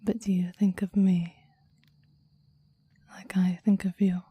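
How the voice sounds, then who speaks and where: A woman voicing a possessive, devoted demon in an intimate ASMR roleplay, speaking in soft breathy whispers with a formal, intense, adoring manner. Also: mid-range voice, level tone, slow, highs cut off. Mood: yearning, adoring. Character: possessive, devoted clingy demon bound to a summoner.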